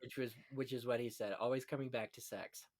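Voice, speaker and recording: A man's voice: mid-range voice, steady tone, fairly quick. A man hosting a casual film-history discussion, talking in an effusive, opinionated way full of anecdotes. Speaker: podcast host on classic Hollywood films.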